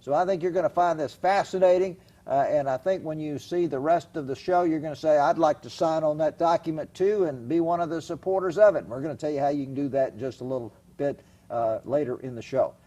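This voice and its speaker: A man's voice: on the high side, fairly quick, few pauses, warm tone. A man hosting a television talk show, introducing and interviewing a guest in a chatty, emphatic way. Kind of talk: studio interview. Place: television studio.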